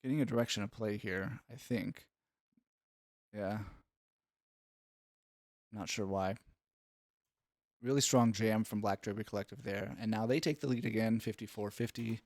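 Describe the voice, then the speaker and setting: A man's voice: on the low side, steady tone, normal speed, long pauses, darkish tone, no echo, clean studio sound. A man giving analytical play-by-play sports commentary in an instructive, explanatory way.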